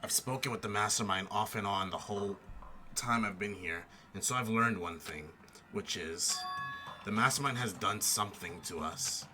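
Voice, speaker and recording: A man voicing a stoic, resolute teenage girl fighter reading out her farewell, grave and deliberate, in a game fan dub. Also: fairly low pitch, close to an everyday voice. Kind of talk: fan voice-over of a visual novel game. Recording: game music behind the voice.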